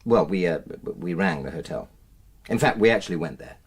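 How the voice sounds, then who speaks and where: Defensive middle-class husband, earnest, slightly rough-edged voice, lively swings in pitch, radio drama. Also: male voice, brisk. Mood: uneasy, explaining. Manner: earnest, emphatic, self-justifying, hesitant under questioning. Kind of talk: naturalistic radio drama acting.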